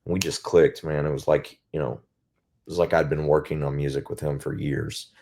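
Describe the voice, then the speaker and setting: Singer guy with a super deep voice just thinking out loud, lots of pauses. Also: little pitch movement, slightly rough. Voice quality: naturally very deep voice. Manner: reflective, searching for words with many pauses. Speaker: band singer as guest. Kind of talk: casual interview chat.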